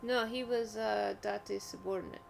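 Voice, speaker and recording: A woman's voice: mid-range voice, fairly even intonation, moderate pace, creaky, a little hiss or hum. A woman chatting casually as a video game streamer, musing and often letting thoughts trail off.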